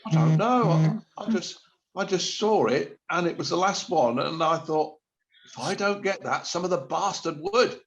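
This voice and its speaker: A man's voice: on the high side, moderate pace, natural voice. A man playing an awkward, sheepish shopper in a radio play, hesitant, stammering and evasive. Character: awkward, sheepish panic-buying supermarket shopper. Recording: slightly dull lo-fi sound.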